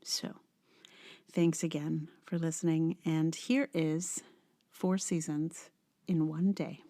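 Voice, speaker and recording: A woman's voice: low-pitched, level tone, takes it slowly, mellow. A woman podcast host chatting casually in a rambling, conversational way.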